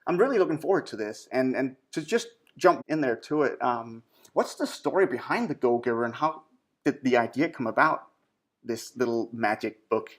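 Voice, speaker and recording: Male podcast host, native Danish, upbeat and halting, mid-range voice. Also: mid-range voice, lively intonation. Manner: enthusiastic, halting with fillers and restarts. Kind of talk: interview podcast.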